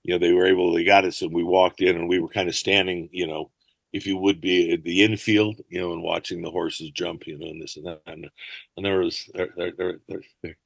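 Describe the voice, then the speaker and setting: A man's voice: sits low, little pitch movement, fairly quick, creaky, no room sound. An older man chatting as a podcast guest, telling rambling stories and dropping into accent impressions now and then. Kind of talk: casual interview chat.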